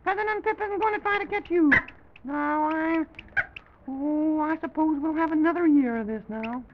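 Super high creaky little toy president, flustered and fussy, old kids show, lo-fi sound. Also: male voice, moderate pace, very noisy. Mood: fretful. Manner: fussy, folksy exclamations, rambling and repeating himself. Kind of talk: old-time children's story show character acting. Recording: dull, lo-fi vintage broadcast recording.

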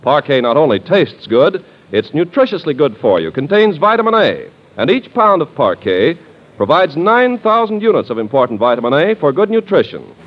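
A man's voice: a little high, very animated, moderate pace. A man reading scripted copy as a polished, salesmanlike 1940s radio announcer. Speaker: radio announcer and sponsor spokesman. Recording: muffled 1940s radio recording with music.

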